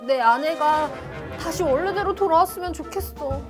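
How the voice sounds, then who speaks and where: A woman's voice: very high voice, moderate pace, over music. A woman playing a meek, devoted fisherman husband who pleads, in a children's storybook. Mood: pleading.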